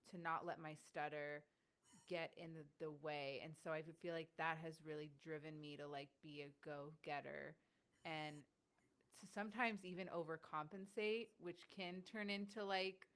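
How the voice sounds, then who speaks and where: A woman's voice: low-pitched, fairly even intonation, unhurried. Young woman host who stutters. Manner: warm, chatty, stutters at times, many "like" fillers. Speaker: podcast host. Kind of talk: casual interview.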